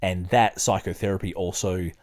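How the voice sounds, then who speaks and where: Low-pitched man, podcast host. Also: fairly even intonation. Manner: hesitant, halting, digressive when talking. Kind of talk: casual chat and reading aloud.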